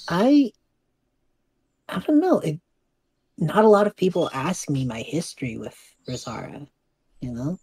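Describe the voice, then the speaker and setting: A woman voicing a young male magic student in an audio drama, earnest, awkward and self-deprecating, speaking haltingly. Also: deep voice, animated, a little mellow, slightly rough, dry recording, full character voice, poor audio. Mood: earnest, reflective. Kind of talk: audio drama dialogue, naturalistic.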